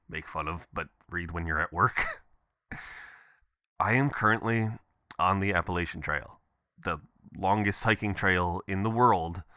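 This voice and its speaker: A man's voice: on the low side, no echo, clean studio sound, heavily acted. A man playing an adventurous, affectionate boyfriend leaving casual, playful voicemails in an intimate roleplay style. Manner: casual, expressive, chuckling, swearing. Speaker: audio performer.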